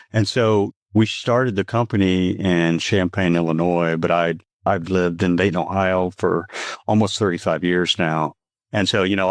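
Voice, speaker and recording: A man's voice: low-pitched, no room sound, clean studio sound. A man in his fifties from Texas with a light Texan accent, a company founder explaining his work earnestly and steadily in a podcast interview.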